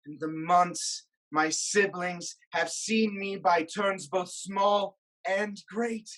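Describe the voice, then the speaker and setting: A woman playing a proud, stubborn king of ancient Thebes, declaiming deliberately in a theatrical reading. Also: lowish voice, fairly even intonation, a bit bright. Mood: proud defiance. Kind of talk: theatrical staged reading of Greek tragedy.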